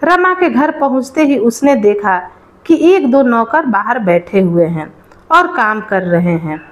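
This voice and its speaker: A woman narrates a moral folk tale in a fairly high voice. Her pitch moves up and down in a lively way, and she takes her time over each sentence as a storyteller. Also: unhurried, dull audio.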